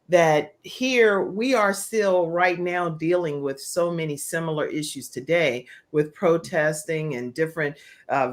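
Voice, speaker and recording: A woman talk show host interviewing a panel of guests, speaking emphatically as she responds to them. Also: lowish voice.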